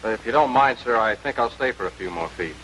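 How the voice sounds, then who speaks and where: A man's voice: mid-range voice, expressive, fast talker, a bit bright, natural voice. An American actor playing a rueful, humble young airman who talks colloquially in a 1940s military training film. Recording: faintly noisy 1940s film soundtrack.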